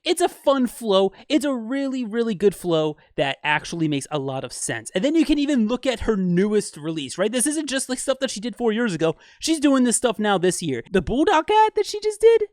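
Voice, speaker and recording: A man breaking down music in an enthusiastic, explanatory way for a video, with fillers and quick asides. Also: sits high, big pitch swings, darkish tone, no room sound, clean studio sound. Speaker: video commentator.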